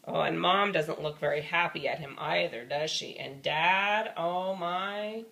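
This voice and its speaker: A woman reading a storybook aloud to a child in an expressive, folksy, playful way with chatty asides. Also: lowish voice, a little slow, vocal fry.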